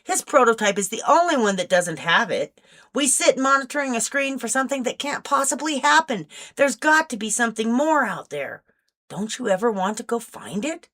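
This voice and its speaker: A woman's voice: fairly high pitch, animated, normal speed, bright, forceful tone, voice pushed up. A woman voicing a restless, teasing female field agent with an informal manner in a story reading. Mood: restless, yearning.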